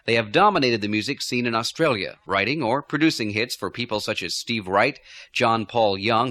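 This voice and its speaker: A man's voice: lowish voice, sharp tone, dry recording. A man reading scripted narration for a radio documentary about music, as its presenter.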